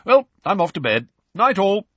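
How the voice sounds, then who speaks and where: A man's voice: sits high, big pitch swings, moderate pace, a bit bright, slightly rough, dry recording, pitched above the performer's own voice, heavily acted. A man voicing a pompous, self-important teenage schoolboy who talks like a sage, in an audiobook.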